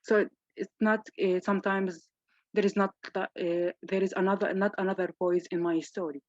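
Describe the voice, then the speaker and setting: A woman's voice: medium pitch, fairly even intonation, no echo. A young woman from Gaza, a literature student, speaking on an online panel with many restarts. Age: young adult. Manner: halting, frequent restarts. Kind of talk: online event talk. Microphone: video call. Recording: dull, dry video call audio.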